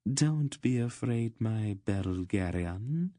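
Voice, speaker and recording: A cruel, seductive queen from a fantasy storybook, acted out by a man. The delivery is languid and purring, raised in pitch, with a cold, bored superiority. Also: lively intonation, slow, warm tone, no room sound, clean studio sound.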